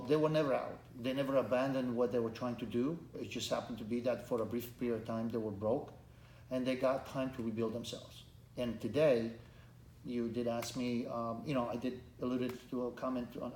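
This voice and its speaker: A middle-aged man from Romania, a candidate for Congress, answering interview questions earnestly with many halting restarts. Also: medium pitch, darkish tone. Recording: echoey room, faint background noise.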